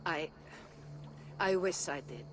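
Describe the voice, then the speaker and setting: An actress playing a gentle, reverent Norse seeress in a game cutscene, speaking in a hushed, earnest and deliberate way. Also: female voice, sits low, expressive, noisy background. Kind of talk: dramatic game cutscene acting. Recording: music behind.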